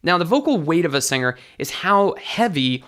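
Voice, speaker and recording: Bright-voiced man teaching singing. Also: fairly high pitch, sing-song, moderate pace. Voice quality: light, bright tenor voice. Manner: enthusiastic teaching, sings short demos. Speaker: vocal coach guest. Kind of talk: explaining singing technique.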